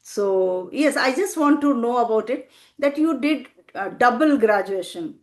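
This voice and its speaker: An older woman, a retired teacher, interviewing a guest in a slow, encouraging conversational style with long framing questions. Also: on the high side, animated. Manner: thoughtful, pauses with "uh", asks long framing questions. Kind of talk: slow, encouraging conversation in English as a second language.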